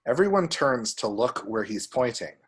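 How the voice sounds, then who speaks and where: A man reading screen directions aloud as expressive scripted narration. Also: mid-range voice, normal speed, breathy edge. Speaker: reader of action descriptions.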